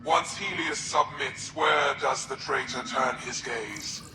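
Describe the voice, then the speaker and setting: A man playing a cold, scheming alien military zealot in a video game, speaking formally and deliberately with menace. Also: mid-range voice, natural voice. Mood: grave contempt. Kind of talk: dramatic video game acting. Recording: echoing, with music behind.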